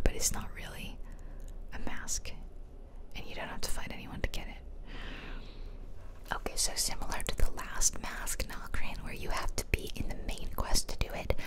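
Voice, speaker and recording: A woman giving casual, chatty ASMR commentary over video game play, rambling along as she goes. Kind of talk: whispered ASMR gameplay commentary.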